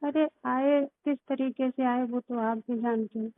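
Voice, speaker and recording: Woman, high voice, reproachful and insistent, private argument over a narrow phone line. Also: fairly even intonation, measured pace, no room sound. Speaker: private caller. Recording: tinny, band-limited recorded phone call.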